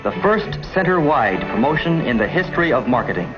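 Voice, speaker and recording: A man's voice: fairly high pitch, very animated, brisk. An American man reading scripted narration in the style of a 1950s promotional film. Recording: dull vintage film soundtrack with music. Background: background music.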